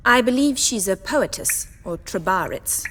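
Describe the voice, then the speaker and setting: An actress playing a prim, catty gentlewoman in a fantasy game, speaking in an arch, formal, haughty manner. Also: medium pitch, animated, measured pace, background noise, natural voice. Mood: arch, informative. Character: prim, catty gentlewoman trading barbs with her sister. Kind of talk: fantasy video game dialogue.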